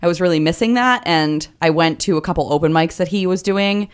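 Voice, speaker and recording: A woman in her thirties with a lower voice, chatting as an interview guest in a loose, self-deprecating way with many fillers. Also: brisk, clean tone. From Vermont Northeast Kingdom. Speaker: guest comedian. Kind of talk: casual interview conversation.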